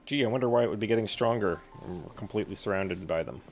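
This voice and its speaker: A man's voice: on the low side, quick pace, darkish tone. A man giving casual, sarcastic playthrough commentary, teasing and muttering to himself as he plays. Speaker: gamer. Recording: game music clearly behind. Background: video game music.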